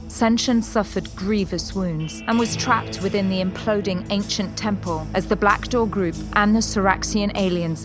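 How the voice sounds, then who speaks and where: A woman narrating a scripted audio drama in a measured, solemn storytelling manner. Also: medium pitch. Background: music.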